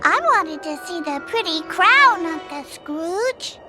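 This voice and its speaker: Tiny, very high little girl duckling. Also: lively intonation, slow, heavily acted. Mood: eager, pleading. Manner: earnest, childlike, pleading. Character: sweet, naive, eager little girl cartoon duckling. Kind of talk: animated game voice acting. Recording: loud background music under the voice.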